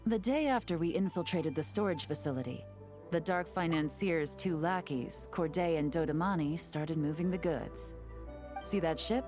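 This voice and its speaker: An actress playing a cool, confident intelligence operative in a video game, briefing allies with composed assurance. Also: female voice, low voice, normal speed, a little mellow, faint music behind, close to an everyday voice. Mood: calm, approving.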